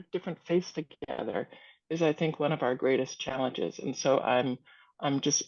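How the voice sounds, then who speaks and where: Lower-voiced woman moderator, casual and hesitant, introduces a guest speaker, dull call audio. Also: a little mellow, dry recording. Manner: informal, hesitant, with many fillers. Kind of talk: introducing a guest at an online meeting.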